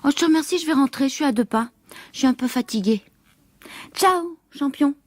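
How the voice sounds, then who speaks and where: A woman's voice: high-pitched, expressive, fairly quick, slight background noise. An actress in a French radio drama playing a man's teasing, patient female companion, wry and colloquial, with a firm edge.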